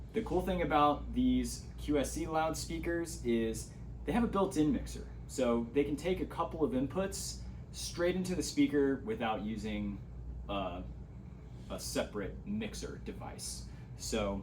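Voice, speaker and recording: A man's voice: medium pitch, lively intonation, a little slow, a little hiss or hum. A man giving a casual, hands-on gear tutorial, explaining things step by step as an instructor.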